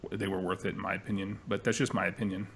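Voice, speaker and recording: A man chatting casually on a panel in a measured way, careful to qualify his opinions. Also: low voice, flat delivery, gravelly, fairly bright tone, slight background noise. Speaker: vaping talk show panelist. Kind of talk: casual chat.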